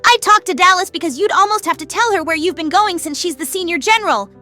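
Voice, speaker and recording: A woman voicing a hyperactive, nosy, chatty female character in an animated web series, earnest and dramatic. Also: very high voice, quick pace, faint music behind. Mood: earnest, excited.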